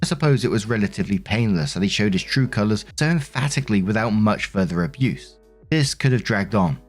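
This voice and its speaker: A man's voice: lowish voice, animated, fairly quick, husky, soft background music. A man reading stories aloud as a host, in a conversational narration style with informal asides. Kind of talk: scripted read-aloud narration with casual commentary.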